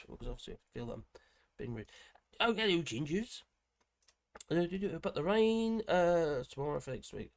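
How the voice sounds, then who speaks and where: An older man with grandchildren, hosting a casual live stream, hoarse from a cold and chatting in a rambling way with many fillers and restarts. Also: fairly high pitch. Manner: rambling, chatty, with frequent fillers and self-corrections.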